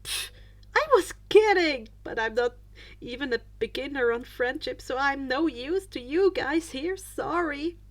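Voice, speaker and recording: Woman playing a playful teasing friend, high-voiced. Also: animated, unhurried. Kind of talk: fan fiction audiobook character voice.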